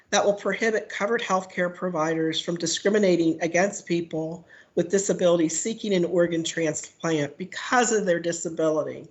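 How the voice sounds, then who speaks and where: Hoarse lady reading her testimony, fairly low voice, steady with lots of ums. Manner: measured, read-out delivery with frequent "um". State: hoarse from a cold. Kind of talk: prepared testimony at a legislative hearing. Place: legislative committee room.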